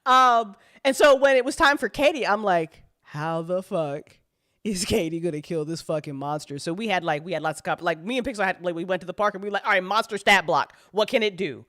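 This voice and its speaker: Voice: medium pitch, a little fast, a bit bright. An audio drama writer chatting casually on a live show, rambling and swearing freely, with slangy, dramatic retellings. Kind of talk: animated casual live chat.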